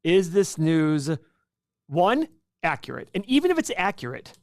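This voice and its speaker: A man's voice: a little high, huge pitch swings, a little slow, dry recording, clean studio sound. A man born in Iraq co-hosting a stock market livestream, talking casually and rambling, repeating words and acting out his own thoughts. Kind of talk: casual investing talk on a livestream.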